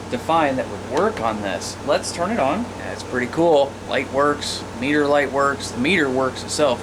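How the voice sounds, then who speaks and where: A man's voice: medium pitch, noisy background. An American man giving a casual, plain-spoken hands-on gear demonstration as an informal presenter. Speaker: radio hobbyist presenter.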